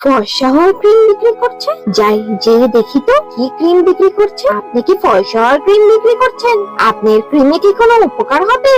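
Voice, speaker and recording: Cartoon village wife female actor: proud, quarrelsome, whiny and dramatic, high register with very animated intonation. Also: quick pace, hardly any pauses, faint music behind, rough recording. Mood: eager, surprised. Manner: whiny, haughty, dramatic complaining. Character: vain, quarrelsome young village wife, proud of her beauty. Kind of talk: exaggerated cartoon dialogue.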